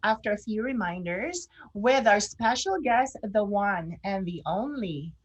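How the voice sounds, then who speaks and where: A woman's voice: mid-range voice, lively intonation, unhurried, slightly rough. A woman co-hosting a fashion talk show in casual chat, enthusiastic and repeating phrases for emphasis.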